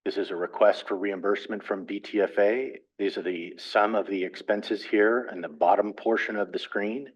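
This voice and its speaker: A man with a low, deep voice presents a resolution to a council, methodical and measured as he reads through figures. The sound is dull and lo-fi, like meeting-room audio. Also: fairly even intonation. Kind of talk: formal presentation.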